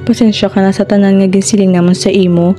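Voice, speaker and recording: A woman's voice: medium pitch, little pitch movement, fast, warm tone, soft background music, lightly acted. A woman with a Filipino accent playing an apologetic older mother in an amateur radio drama. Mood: remorseful.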